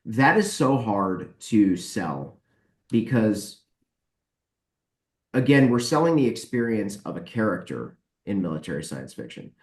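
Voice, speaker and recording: A man with a fairly low voice answers interview questions in a casual way. He is blunt and explains his reasoning as he goes. The sound is very roomy and echoey. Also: big pitch swings, measured pace. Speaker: guest, book publisher.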